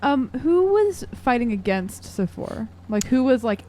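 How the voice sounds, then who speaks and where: A woman playing a tabletop game, chatting casually and asking questions in a halting, conversational way. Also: a little high, very animated, warm tone.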